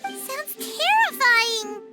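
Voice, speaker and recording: A woman voicing a tiny childlike pixie in a video game, squeaky, excitable and blunt. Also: very slow, over music, full character voice. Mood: worried. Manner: childlike, exclaiming, refers to self in third person. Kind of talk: animated game character acting.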